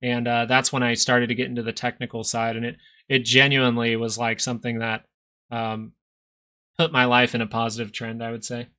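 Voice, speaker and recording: A man's voice: mid-range voice, monotone, fairly quick, bright, forceful tone, smooth. A man in his thirties hosting a live stock market stream, chatting casually between chart analysis and answers to viewer questions. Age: 30s. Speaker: stock market livestream host.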